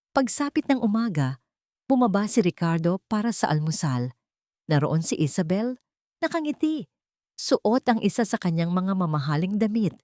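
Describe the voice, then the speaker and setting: A woman narrates an audio drama as its storyteller. Her voice sits a little low, and the delivery is scripted and dramatic. The recording is very dry. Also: a little mellow, no echo.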